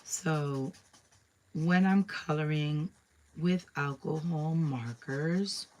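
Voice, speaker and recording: A young woman with a slightly raspy voice, chatting casually through a crafting tutorial, with many ums and asides. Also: deep voice, a little mellow, slight background noise. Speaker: craft demonstrator.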